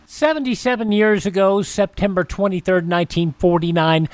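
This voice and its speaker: A man hosting a classic radio show in a casual, rambling, conversational way. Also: on the high side.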